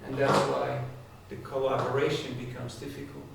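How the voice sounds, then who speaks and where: A man's voice: mid-range voice, completely flat delivery, moderate pace, vocal fry. A man giving a devotional talk to a live audience, speaking conversationally and asking his listeners direct questions. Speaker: spiritual speaker. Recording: echoey hall, distant mic, faint noise.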